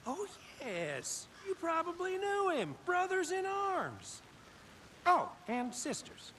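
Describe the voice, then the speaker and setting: An actor playing a chatty, slyly amused small-town local in a video game, with a theatrical sing-song delivery. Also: male voice, extremely high pitch, wildly swooping pitch, slow pace, bright, forceful tone, a little hiss or hum, full character voice. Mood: sly, knowing.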